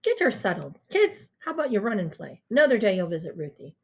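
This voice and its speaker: A woman's voice: mid-range voice, very animated, fast, slightly rough, muffled recording. A woman voicing a friendly, reassuring ambulance man in an audiobook, upbeat and instructing. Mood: firm, reassuring.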